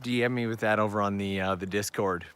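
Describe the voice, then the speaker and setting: A man hosting a live travel stream outdoors, chatting upbeat to viewers while walking, his voice going hoarse. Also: on the low side, fairly even intonation, brisk, fairly bright tone. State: losing his voice. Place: outdoors on town backstreets at evening.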